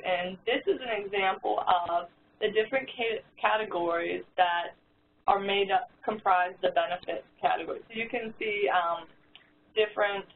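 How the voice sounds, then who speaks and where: A woman presents over a conference call and talks listeners through a resource one step at a time. Her voice comes through a thin, tinny phone line with a faint hiss behind it. She often restarts her sentences as she goes. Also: medium pitch. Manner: explains step by step, with frequent restarts and fillers.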